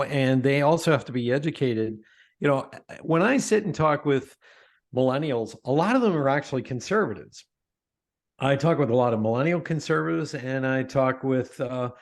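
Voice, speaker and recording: A middle-aged man talking as a guest in a casual interview, emphatic and animated, often restarting his sentences. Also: mid-range voice. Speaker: podcast guest, author.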